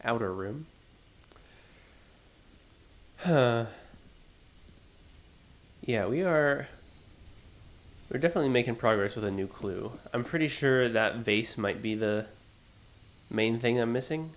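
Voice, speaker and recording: A man hosting a let's play, thinking aloud in an unhurried, musing way, his voice low and groggy from just waking up. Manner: musing, unhurried, self-talking. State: low morning voice, just woken up. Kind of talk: thinking-aloud gameplay commentary.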